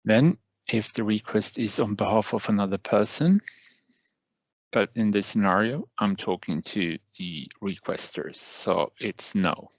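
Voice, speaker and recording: Gravelly, slow-talking man giving a tutorial. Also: on the low side, no echo, rough recording. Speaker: software trainer. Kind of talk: step-by-step software tutorial narration.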